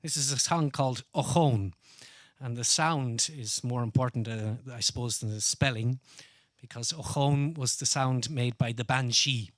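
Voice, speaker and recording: A middle-aged Irish singer-songwriter talking loosely between songs at a live studio session, with plenty of ums and uhs. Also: male voice, mid-range voice, unhurried, no echo. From Ireland. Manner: relaxed, rambling spoken intro with many fillers. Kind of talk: live studio performance with song introduction. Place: music studio. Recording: live studio with music playing.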